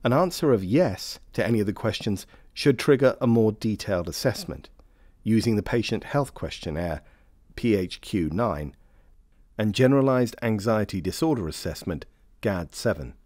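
Male narrator, lowish pitch, formal and measured, instructional script, dry close studio sound. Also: expressive, a little mellow, dry recording. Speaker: narrator of a health training film.

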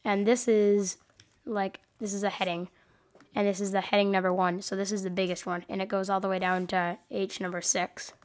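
A woman's voice: mid-range voice, flat delivery, moderate pace. Young woman, sniffly with a cold, hosting a loose desk-mic coding tutorial, rambling and self-correcting. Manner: rambling, hesitant, many ums and self-corrections. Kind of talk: casual screen-recorded tutorial.

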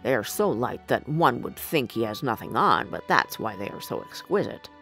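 A woman voicing a sly male swindler who talks in a flattering, showy and persuasive way for a storybook. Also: extremely low pitch, normal speed, soft background music, voice pushed down, exaggerated voice. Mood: smooth, flattering. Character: sly smooth-talking male swindler posing as weaver.

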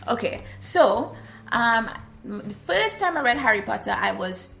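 A woman's voice: a little high, measured pace, vocal fry. A young woman from Trinidad with a Trinidadian accent, talking casually and expressively to the camera in a vlog. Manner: animated, expressive, gestures while talking. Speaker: vlogger.

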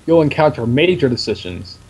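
A man with a deep voice chatting casually and reading game text aloud while playing. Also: very animated, fairly quick, a little mellow, rough recording. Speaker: let's play co-host. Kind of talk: casual gaming commentary.

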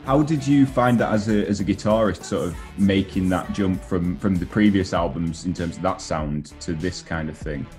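A young man in his twenties interviewing a musician on a video call with a headset, in a relaxed, laid-back way. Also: low-pitched, moderate pace, few pauses, soft background music. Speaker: interviewer, show host. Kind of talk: casual interview questions.

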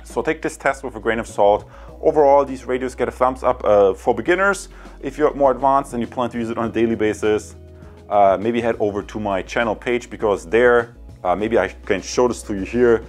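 A man in his thirties reviewing a product to camera from a room at home, friendly and chatty, often saying "guys" and filling pauses with "uh". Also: mid-range voice, faint music behind. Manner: friendly, addresses viewers as guys, frequent uh fillers. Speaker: tech reviewer.

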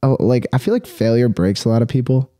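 A young adult man telling personal stories casually as a podcast guest, halting and rambling, with frequent swearing. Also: medium pitch, dark tone, no echo, clean studio sound.

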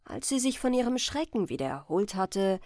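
A woman reading a bedtime fairy tale as scripted audiobook narration, in an expressive, deliberate storytelling manner. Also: mid-range voice, no room sound, studio quality. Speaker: audiobook reader.

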